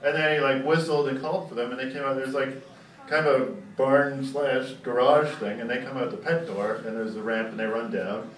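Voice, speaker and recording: A man's voice: mid-range voice, fairly even intonation, fairly quick. A man on stage telling a casual, profane comic story and acting out the dialogue as he goes. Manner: acts out dialogue as he tells it. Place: live comedy show indoors. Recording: echoey live room, distant mic, faint noise.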